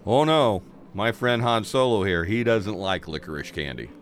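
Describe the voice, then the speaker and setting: A man's voice: lowish voice, animated, moderate pace, a little hiss or hum, close to an everyday voice. A man playing a casual, teasing trickster who guides a friend through hell in a comedic radio play. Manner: breezy, teasing.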